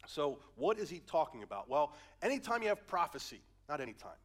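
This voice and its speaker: A man's voice: a little high, very animated, brisk. A male pastor preaching a conversational sermon through a head cold, asking his listeners questions as he reads and explains verses. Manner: conversational, asks the audience questions.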